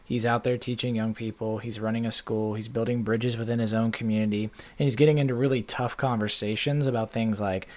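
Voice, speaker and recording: A man's voice: on the low side, little pitch movement, a little fast, hardly any pauses, a little mellow. Chatty man hosting a podcast. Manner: rambling, chatty, many "like" fillers. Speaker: podcast host. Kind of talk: casual conversation.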